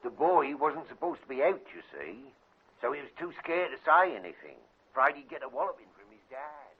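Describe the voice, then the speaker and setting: A man's voice: on the high side, very animated, brisk, breathy edge, phone-quality sound. An actor playing a blunt, suspicious working-class man with an English accent and slangy speech in a radio drama. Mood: gossipy. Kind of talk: British radio drama acting. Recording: thin, band-limited old radio drama.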